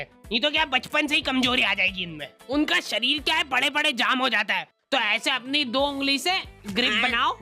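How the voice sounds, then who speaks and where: High, bright-voiced man narrating comedy cartoons. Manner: mock-serious, brash, colloquial. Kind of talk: casual comic storytelling for animation.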